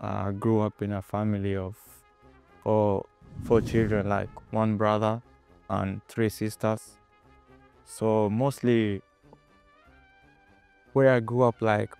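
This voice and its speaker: Low, flat-voiced young Kenyan man. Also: clean tone. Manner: hesitant, many fillers like "you know". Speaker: footballer guest. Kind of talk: interview answers.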